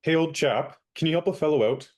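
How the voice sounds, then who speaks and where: Young guy playing a fed-up fake valet, crisp and bossy, radio play. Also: on the high side, brisk, lightly acted. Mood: friendly. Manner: crisp, lecturing, indignant. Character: indignant young man posing as a valet.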